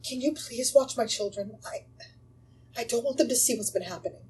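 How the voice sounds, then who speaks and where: A woman's voice: a little high, a little fast, warm tone, distant mic, a little hiss or hum, close to an everyday voice. A woman acting an anxious, pleading young mother haunted by a ghost in an audio drama. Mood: urgent, pleading.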